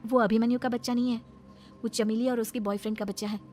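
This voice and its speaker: A woman's voice: fairly high pitch, level tone, fast talker, darkish tone, faint music behind, lightly acted. A woman voicing a wronged young daughter-in-law in a cartoon family drama, earnest, indignant and dignified. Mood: firm. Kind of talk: dramatised cartoon story dialogue.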